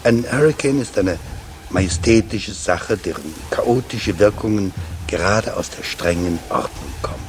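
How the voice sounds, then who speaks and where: A man reading a literary text for a radio feature in a measured, scripted delivery. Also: mid-range voice, slight background noise. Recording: music behind the voice. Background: music.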